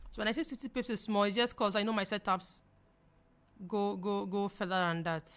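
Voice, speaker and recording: A woman's voice: medium pitch, steady tone, brisk. Chatty Ghanaian woman hosting a live stream. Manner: chatty, emphatic, repeats phrases, laughs easily. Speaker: livestream trading host. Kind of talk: live-stream chat answering viewers.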